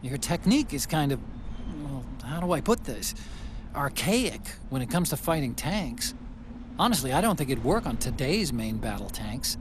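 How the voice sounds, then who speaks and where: A man's voice: mid-range voice, normal speed, natural voice. An actor playing a chatty, nerdy male engineer and sidekick in a video game cutscene, talkative and earnest. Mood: curious. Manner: talkative, earnest, gets excitable. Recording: game audio with clear background noise.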